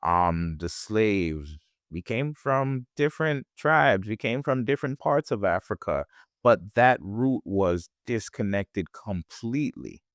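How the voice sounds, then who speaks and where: A man's voice: on the low side, expressive, takes it slowly, dry recording, studio quality. A man from Jamaica, a guest on a podcast, talking in a reflective way with frequent restarts. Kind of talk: conversational interview.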